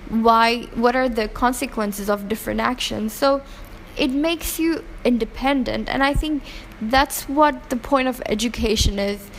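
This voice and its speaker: A woman from Pakistan, raised in Hong Kong, shares her views in an interview. Her voice sits a little high, and she speaks earnestly with frequent fillers and self-corrections. A faint background hiss runs under the recording. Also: a little slow, few pauses. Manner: earnest, opinionated, many fillers and restarts. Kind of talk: casual interview answers.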